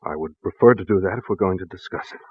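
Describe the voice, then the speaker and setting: Grave, husky husband, old radio thriller. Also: male voice, low-pitched, dry recording. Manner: measured, formal, brooding. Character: grave, secretive husband haunted by his mad brother. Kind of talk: 1940s radio drama acting. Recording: dull, dry vintage radio recording.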